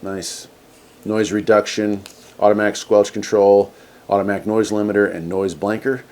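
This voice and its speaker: Low-voiced man casually reviewing gear. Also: flat delivery, normal speed, a little mellow. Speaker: host of a radio gear review channel. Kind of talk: casual hands-on product review.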